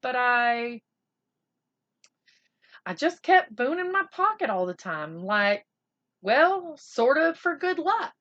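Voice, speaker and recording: A woman's voice: high-pitched, big pitch swings, takes it slowly, pauses often, fairly bright tone, dull audio, pitched above the performer's own voice, exaggerated voice. A woman voicing a sheepish, defensive young boy, hesitant and earnest, in a children's storybook read-aloud.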